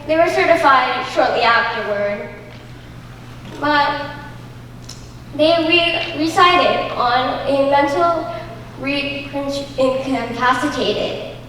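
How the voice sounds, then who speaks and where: A woman's voice: high-pitched, pushed and bright, full character voice. A young woman student actor playing a melodramatic, cheerfully resigned woman in an absurdist stage comedy. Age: young adult. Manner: theatrical, stagey delivery. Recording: echoey auditorium, distant mic, faint noise.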